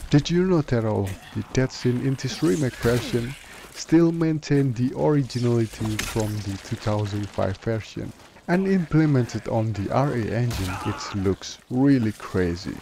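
A man's voice: mid-range voice, measured pace, background noise. A man reading scripted narration as a video creator.

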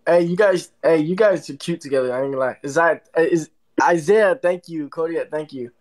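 A man's voice: fairly high pitch, moderate pace, slight background noise. A young man from Florida hosting a casual phone livestream, thanking viewers for gifts and teasing his guest. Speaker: livestream host. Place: indoor room.

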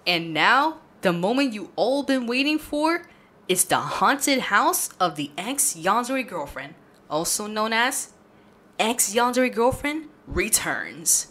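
A woman's voice: mid-range voice, sing-song, takes it slowly. A woman storyteller hosting and reading a scripted story recap addressed directly to the listener. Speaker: channel host and storyteller. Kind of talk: scripted second-person story recap narration. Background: loud music.

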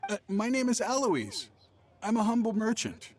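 A man's voice: high voice, big pitch swings, moderate pace. A man voicing a nervous, shifty young merchant in a video game, hesitant and evasive. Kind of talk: scripted video game voice acting.

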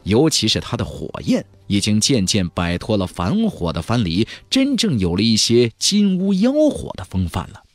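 Very animated male audiobook narrator. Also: fairly low pitch, moderate pace, few pauses, dry recording, faint music behind. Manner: expressive, emphatic delivery. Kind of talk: dramatic scripted storytelling.

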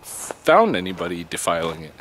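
Middle-aged male vlogger, lowish voice, unhurried and reflective, recorded outdoors with loud wind on the mic. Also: big pitch swings. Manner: halting, reflective, with long pauses. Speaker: vlogger on a night hike. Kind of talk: casual spoken vlog, thinking aloud. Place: outdoors at night, open field. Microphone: camera held close to face. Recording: windy outdoor camera mic, noisy. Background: wind noise.

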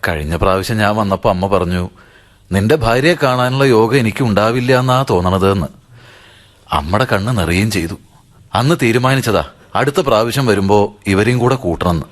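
An actor playing a gentle, dutiful grown son and father in a radio drama, explaining things patiently. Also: on the low side, expressive, a little fast, natural voice. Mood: earnest.

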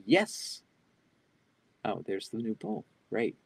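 An older man with a husky voice that sits a bit low, answering questions live over a video call. He reads each question out, then talks it through off the cuff with plenty of "um"s and restarts. The sound comes through an earbud mic in a small home office. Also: sing-song, slow. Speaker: keynote speaker answering audience questions. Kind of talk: live online Q&A. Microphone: wired earbud headset on a video call.

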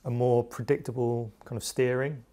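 A man's voice: medium pitch, little pitch movement, moderate pace, mellow. Matter-of-fact man discussing bikes. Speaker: mountain bike magazine reviewer. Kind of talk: casual product review discussion. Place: small indoor studio workshop. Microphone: lapel mic.

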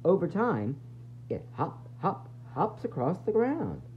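A man reading a children's book aloud like a teacher, expressively, with dramatic pauses, emphasis and questions to his young listeners. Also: high voice, big pitch swings. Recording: dull, faintly noisy home recording.

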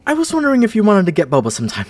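A man voicing a shy, smitten young college student in a roleplay audio, halting and flustered as he talks. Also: high voice, wildly swooping pitch, heavily acted. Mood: nervous, stammering. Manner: halting, flustered stammering with nervous laughs.

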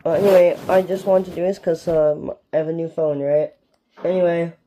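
A young man from Canada giving rambling, casual gameplay commentary with a sore, strained throat, recorded on a phone filming a TV in a dark room at home. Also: on the high side, steady tone, a little fast, slight background noise. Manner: rambling, keeps asking "alright?". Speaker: gaming YouTuber.

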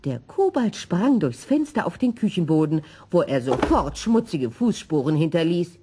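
A woman reading a children's story in an expressive, scripted narrating style. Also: fairly low pitch, normal speed, noisy background.

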